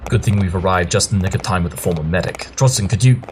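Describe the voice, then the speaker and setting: A man's voice: deep voice, very fast, background noise, lightly acted. A man playing a self-assured, eccentric pony detective in a cartoon fan drama, theatrical and articulate. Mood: confident. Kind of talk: fan audio drama acting.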